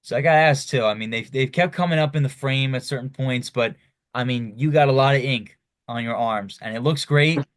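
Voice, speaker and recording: A man's voice: mid-range voice, brisk, no echo, studio quality. A young man hosting a podcast, asking long, loose questions with lots of you-knows. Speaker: podcast host. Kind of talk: casual interview chat.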